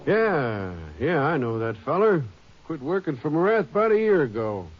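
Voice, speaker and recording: An actor playing an obliging small-town sheriff, homespun and drawling, in a 1950s radio drama. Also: male voice, on the high side, big pitch swings, moderate pace. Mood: helpful. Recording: noisy muffled old radio broadcast.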